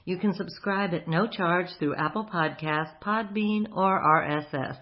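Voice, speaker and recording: A woman's voice: lowish voice, a little slow, dull audio. A woman hosting a radio program, reading a scripted sign-off narration.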